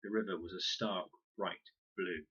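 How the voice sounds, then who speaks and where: A man reading a children's audiobook in an expressive, dramatic storytelling manner. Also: sits low, unhurried, slightly rough, lo-fi sound. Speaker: reader.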